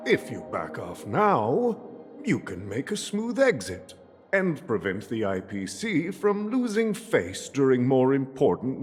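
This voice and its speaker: A jovial, scheming old family boss for a video game. His pitch is on the high side and swoops grandly as he condescends. He sounds set in a big reverberant hall. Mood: sly, warning. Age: older. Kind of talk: theatrical video game acting.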